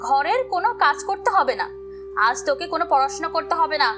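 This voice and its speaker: A woman acts out a cruel village stepmother from a cartoon tale. Her high voice scolds with biting sarcasm, with music clearly behind it. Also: fast talker, natural voice. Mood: scornful. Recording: music under the voice.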